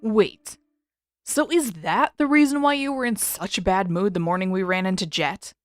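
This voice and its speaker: A woman voicing a brash, defensive teenage boy who protests loudly and excitably in a story reading. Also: medium pitch, normal speed, faint music behind, lightly acted. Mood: puzzled.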